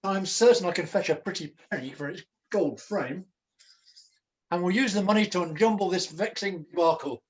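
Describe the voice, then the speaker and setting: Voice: medium pitch, normal speed, natural voice, poor audio. A performer playing a flustered, scheming jester in a pantomime, declaiming in florid mock-archaic language. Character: Jack Point, a wordy, scheming, flustered jester. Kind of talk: theatrical pantomime acting.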